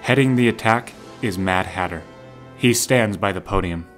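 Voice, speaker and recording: A man's voice: fairly low pitch, moderate pace, fairly bright tone. A man narrating a scripted audio drama in the present tense. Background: music.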